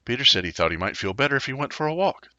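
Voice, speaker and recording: A man reading a children's story aloud in an animated, theatrical way. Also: medium pitch, very fast, dry recording, highs cut off. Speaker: storybook reader. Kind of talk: expressive children's story narration.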